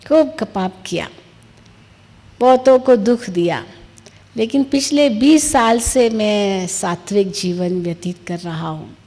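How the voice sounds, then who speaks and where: A woman's voice: mid-range voice, dark tone, natural voice. A woman telling a parable as a candid, contented eighty-year-old retired businessman, explaining himself patiently. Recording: long reverberant hall echo.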